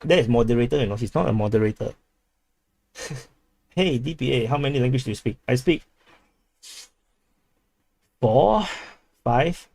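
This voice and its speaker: A man's voice: medium pitch, lively intonation, moderate pace, vocal fry, pauses often, darkish tone, no echo. A man from Singapore with a Singaporean English accent, hosting a casual livestream, rambling with many restarts while reading chat aloud. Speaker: livestream host.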